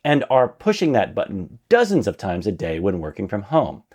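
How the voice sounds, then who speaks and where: A man's voice: on the low side, wildly swooping pitch, moderate pace, a little mellow. A man reading his own prepared essay aloud as a podcast narrator, with an emphatic, enthusiastic delivery. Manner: refers to himself as "we".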